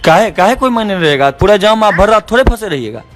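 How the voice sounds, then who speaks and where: High-pitched Bihari man arguing by phone. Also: expressive, fast. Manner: argumentative, insistent. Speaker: stage show organizer. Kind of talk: heated phone negotiation. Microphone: phone call. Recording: phone call recording with faint music.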